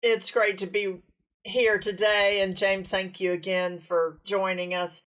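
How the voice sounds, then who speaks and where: Unhurried woman co-host, measured questions, a little off-mic. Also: medium pitch, flat delivery, a bit bright, recorded from a distance. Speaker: podcast co-host. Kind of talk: podcast interview.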